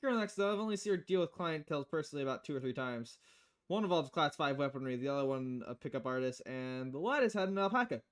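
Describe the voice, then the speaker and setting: A woman's voice: low voice, lively intonation, fast. A woman voicing a polite, chatty young female bartender in a visual novel, with a courteous lilt. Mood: chirpy, polite.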